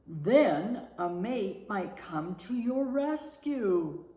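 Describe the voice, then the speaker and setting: A woman voicing a tired, patient male storybook companion who gives slow, deliberate advice. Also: mid-range voice, very animated, warm tone. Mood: advising. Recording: roomy, echoing room sound.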